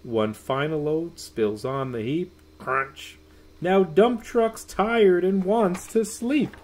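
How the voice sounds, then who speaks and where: A middle-aged man reading a rhyming children's picture book aloud in a sing-song way, drawing out words. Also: high voice. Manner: sing-song, drawn-out words with soft hushed goodnights. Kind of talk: expressive read-aloud of a rhyming children's bedtime story. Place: small room at home.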